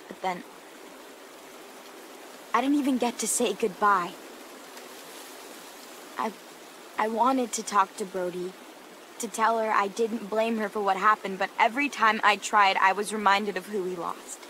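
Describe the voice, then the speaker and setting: A woman playing a guarded, guilt-ridden teenage girl survivor in a video game, subdued, halting and sardonic. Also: medium pitch, bright, forceful tone, a little hiss or hum, close to an everyday voice. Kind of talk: naturalistic video game drama acting. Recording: clear outdoor nature ambience behind.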